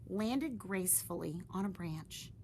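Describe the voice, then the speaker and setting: A woman reading a children's picture book aloud with expressive, animated narration. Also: on the low side, a little slow, a little hiss or hum. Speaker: storyteller.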